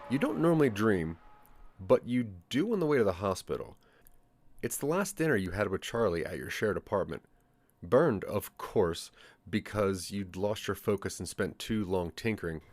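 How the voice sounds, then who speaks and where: Male narrator for interactive audio drama, dramatic storyteller, lower register, animated delivery. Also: normal speed, dry recording. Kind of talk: scripted second-person audio drama narration.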